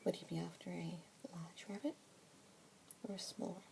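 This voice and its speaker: A young woman with an Australian accent whispering softly and breathily as a pet adoption specialist in an ASMR roleplay, with gentle murmured "mm-hmm" fillers. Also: fairly low pitch, fairly even intonation, normal speed. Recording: close whisper mic with clear background hiss.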